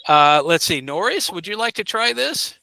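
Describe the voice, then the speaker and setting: Bright, lively male ESL teacher. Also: high voice, gravelly, no echo. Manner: patient, models phrases slowly for students. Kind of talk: encouraging classroom instruction.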